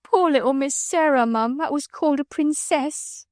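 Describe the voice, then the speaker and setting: A woman's voice: high-pitched, normal speed, no room sound, studio quality, voice pushed up, lightly acted. A woman voicing a timid young scullery maid, pleading and sniffling. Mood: tearful pleading.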